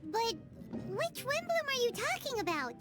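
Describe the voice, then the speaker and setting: A woman voicing a tiny, cheeky, bubbly fairy girl companion in a video game, squeaky and childlike. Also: big pitch swings, a little slow, loud music behind the voice, exaggerated voice. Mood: curious.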